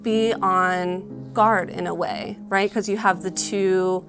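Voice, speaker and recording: A woman's voice: medium pitch, fairly even intonation, slow pace. A woman giving a casual tarot reading, explaining the cards in a conversational way with lots of filler words. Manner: conversational, explanatory, often hedging with "like" and "you know". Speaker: tarot card reader. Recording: music playing behind the voice.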